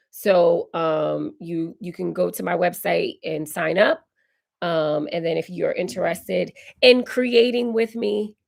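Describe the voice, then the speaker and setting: A woman in her forties, hoarse and raspy while recovering from illness, hosting a casual live Q&A and answering viewer comments. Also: mid-range voice. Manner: chatty, reads viewer comments aloud and answers warmly. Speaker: decor channel host. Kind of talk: live stream Q&A chat.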